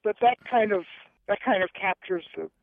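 A man's higher-pitched voice reaches the show through a tinny telephone line, dry and close with no room sound. He speaks thoughtfully, often restarting his sentences. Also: vocal fry, no echo. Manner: thoughtful, hesitant restarts; measured reading. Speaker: guest poet and activist. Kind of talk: radio interview and poetry reading.

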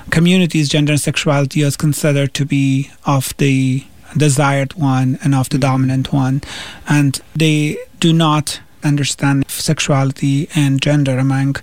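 A man's voice: fairly high pitch, a little mellow, no room sound. A man from Afghanistan, a non-native English speaker, talking thoughtfully as an interview guest. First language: not English. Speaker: interview guest, PhD student and activist.

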